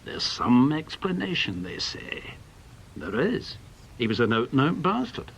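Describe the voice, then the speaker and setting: A man's voice: medium pitch, sing-song, measured pace, vocal fry, a bit bright, slightly rough, exaggerated voice. An actor playing a bitter, blunt veteran British intelligence man in a radio drama, with an emphatic, scornful manner.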